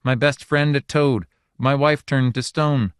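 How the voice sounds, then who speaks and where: A man's voice: mid-range voice, steady tone, a little slow, smooth, no room sound, clean studio sound, pitched above the performer's own voice, natural voice. A man doing a nervous, pleading husband. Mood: desperate. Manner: nervous, imploring.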